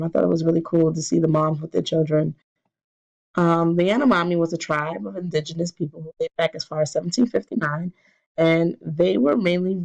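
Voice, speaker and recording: A young woman presents her class essay while stuffy from a cold. Her voice has a gravelly edge, and she stumbles through restarts and fillers. The recording sounds dull and lo-fi. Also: lowish voice, darkish tone. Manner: hesitant, many fillers and restarts. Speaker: student presenter.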